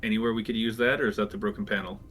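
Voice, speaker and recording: A man with a fairly low voice playing a tabletop game, handing out orders to the group. He sounds like a leader. Faint music plays behind him. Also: fairly even intonation, racing, fairly bright tone. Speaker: player. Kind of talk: casual tabletop play.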